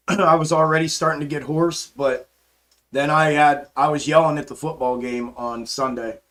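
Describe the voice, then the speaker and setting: Hoarse male livestream host, easygoing, slightly high voice, casual market chatter. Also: fairly even intonation, normal speed, a bit bright. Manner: laid-back, calls viewers bro and man. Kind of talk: casual live chart commentary and chat replies.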